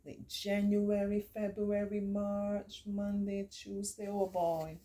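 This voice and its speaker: A woman reading a picture book aloud to children, with encouraging, simply explained asides and expressive, drawn-out emphasis. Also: mid-range voice. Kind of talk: read-aloud story time with chatty asides to children.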